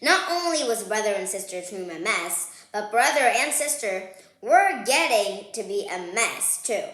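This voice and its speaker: A woman reading a children's picture book aloud, playful and expressive, chatting to young listeners between lines. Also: medium pitch, measured pace. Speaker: read-aloud host. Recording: roomy, echoing sound.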